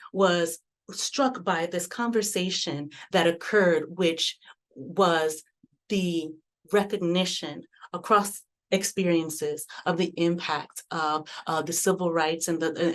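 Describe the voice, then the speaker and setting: A woman from the American South with a notably low, deep voice, speaking on a panel. She talks earnestly and reflectively, circling back and restarting phrases as she thinks aloud. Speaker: panelist and community arts researcher. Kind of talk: panel discussion.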